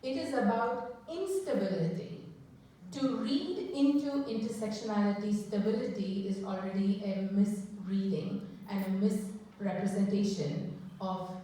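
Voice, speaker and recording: A woman giving an academic panel talk at a conference, measured and thoughtful, often posing questions to the audience. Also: mid-range voice, mellow. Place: conference hall. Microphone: microphone that cut out mid-talk. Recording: echoey hall, from far off.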